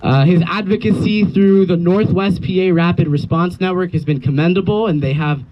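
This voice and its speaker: A man's voice: high voice, steady tone, normal speed. A man giving an earnest, formal speech as the organizer of a public rally, introducing speakers. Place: outdoor rally near a road. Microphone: handed-around PA microphone. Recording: dull lo-fi audio, faint vehicle noise.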